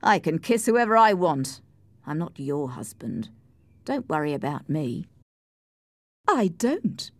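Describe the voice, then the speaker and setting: A woman voicing a defiant, brooding, scornful man with drawn-out, mocking taunts in an audiobook story. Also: sits low, very animated, dry recording, heavily acted. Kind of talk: audiobook character acting.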